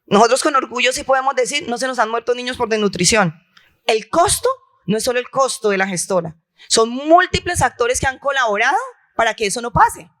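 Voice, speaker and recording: A woman's voice: on the high side, fairly bright tone. A woman from La Guajira, speaking passionately and with emphatic repetition on a conference panel. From La Guajira, Colombia. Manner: impassioned, emphatic, with rhetorical repetition. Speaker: health insurer leader on a panel. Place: conference hall.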